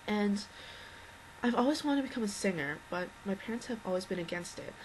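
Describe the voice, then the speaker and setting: A teenage girl with a low, slightly worn voice, rambling earnestly through an unscripted video message as a fan. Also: animated, a little fast, slight background noise, low-quality recording. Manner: rambling, earnest, many restarts and fillers. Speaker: fan addressing a singer.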